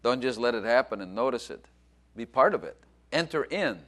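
A man's voice: medium pitch, expressive, normal speed, fairly bright tone. A man, a pastor hosting the service, preaching urgently with repeated phrases and introducing his guest. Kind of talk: sermon.

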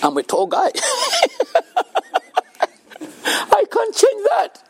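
A man's voice: very high voice, wildly swooping pitch, extremely slow. A man from Uganda, giving an earnest faith-based talk about being thankful to God and often laughing between his points. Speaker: speaker at a children's home.

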